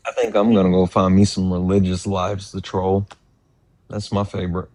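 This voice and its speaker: A young man on a livestream chatting in a flat, offhand way. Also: sits low, normal speed, no echo. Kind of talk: casual chat.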